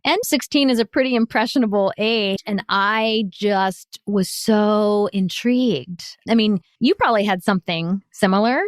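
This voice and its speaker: A woman speaks as a guest, telling stories with lively enthusiasm. Her voice sits in an ordinary middle register. The recording is dry and close. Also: medium pitch, normal speed, hardly any pauses, no room sound. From United States. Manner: enthusiastic, expressive, laughs often. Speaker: guest, consultant and author. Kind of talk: storytelling in an interview.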